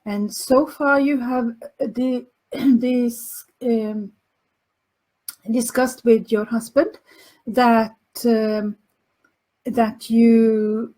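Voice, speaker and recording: Slow, hesitant Finland Swedish woman. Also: on the high side, a little mellow, rough recording. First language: Finland Swedish dialect. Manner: hesitant, many fillers and restarts. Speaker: podcast host and language coach. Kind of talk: answering listener questions.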